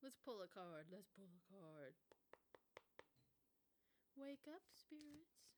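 A woman's voice: mid-range voice, big pitch swings, slow, noisy background. Woman, mid-twenties, solo podcast host; loose, profane, rambling delivery. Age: 20s. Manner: casual, profane, rambling with fillers. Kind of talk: casual solo podcast rant.

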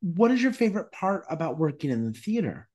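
A woman's voice: lowish voice, big pitch swings, brisk, mellow, husky. A woman hosting a podcast, putting prepared interview questions to a guest in a friendly way.

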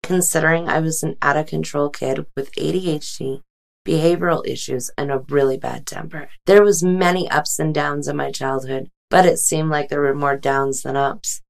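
Low, creaky woman telling her testimony. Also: steady tone, moderate pace. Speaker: testimony giver. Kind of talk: scripted personal testimony.

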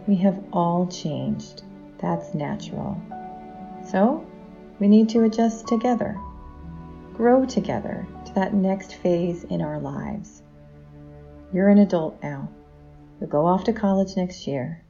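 A woman's voice: fairly low pitch, slow, creaky, pauses often, dark tone, lo-fi sound, faint music behind. A woman playing a caring but troubled middle-aged wife and mother in an audio drama. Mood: gently reassuring.

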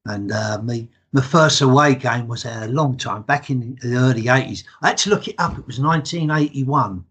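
A man's voice: mid-range voice, moderate pace, a bit bright, slight background noise. An older English man in his sixties, a retired podcast guest telling his stories in a chatty, matter-of-fact way. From England. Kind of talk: casual interview chat.

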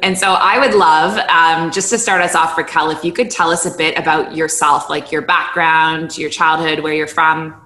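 Woman, interview host, enthusiastic, lowish pitch, echoey room. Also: steady tone, normal speed, hardly any pauses, pushed and bright. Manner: enthusiastic, upbeat questioning. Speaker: podcast host. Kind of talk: casual interview hosting. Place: room in Abu Dhabi. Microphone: video call. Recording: roomy echo.

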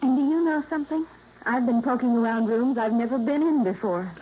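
An actress in her twenties playing a resentful, sharp-tongued young woman in a 1950s radio drama. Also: on the high side, fairly quick, close to an everyday voice. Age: 20s. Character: bitter young woman, niece cut from will. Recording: tinny old radio broadcast, faint hiss.

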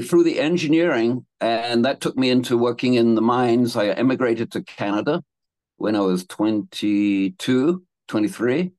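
A man's voice: mid-range voice, darkish tone, no room sound. A man in his seventies from England, telling his life story as a guest in a casual interview, rambling with restarts and laughing between thoughts. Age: 70s.

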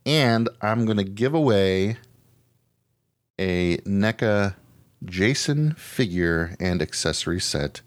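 A man's voice: on the low side, expressive, slow, no echo. A man hosting a podcast in casual chat, talking informally with some mild swearing and self-deprecation. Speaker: podcast host.

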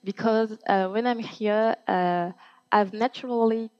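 A woman's voice: mid-range voice, steady tone, darkish tone. Hesitant young woman, lo-fi. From Côte d'Ivoire. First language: French. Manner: hesitant, many pauses and fillers. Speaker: guest. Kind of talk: casual interview chat. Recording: dull lo-fi audio.

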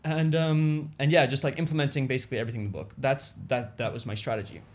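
A man's voice: medium pitch, fairly even intonation, fast. A young man whose first language is Indonesian, a business owner speaking as a guest in a casual interview, rambling with lots of "you know" and "like". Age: young adult.

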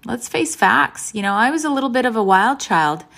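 A woman's voice: on the high side, expressive, moderate pace, creaky, fairly bright tone. A woman in her fifties, a nutrition and lifestyle vlogger, talking candidly and thoughtfully to her viewers. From Canada. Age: 50s. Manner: reflective, open and thoughtful. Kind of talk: candid casual talk to camera.

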